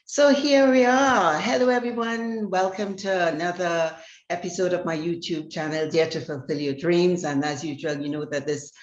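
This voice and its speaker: A woman with a slightly low voice speaks as an interview host, presenting and asking questions conversationally. The recording is echoey and somewhat dull. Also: steady tone, moderate pace. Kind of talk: warm-up introduction and interview chat. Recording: echoey room, dull audio.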